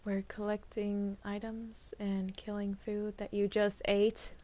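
A woman's voice: mid-range voice, completely flat delivery, takes it slowly, dark tone, a little hiss or hum, natural voice. A woman voicing a bossy, menacing female villain in an improvised game roleplay, curt and threatening.

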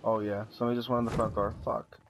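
A man's voice: lowish voice, little pitch movement, very fast. A man playing an online game, giving casual, slangy and profane callouts to his teammates. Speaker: video game podcast member playing online. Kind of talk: casual gaming chat with team callouts. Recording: faint noise and clicks on voice chat.

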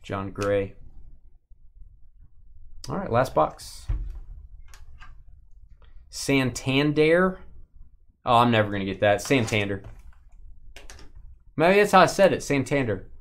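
A man's voice: mid-range voice, very animated, slow pace, long pauses, fairly bright tone. A man with a Texas accent hosting a live-stream card break, chatting and joking with viewers as he opens packs. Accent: Texan.

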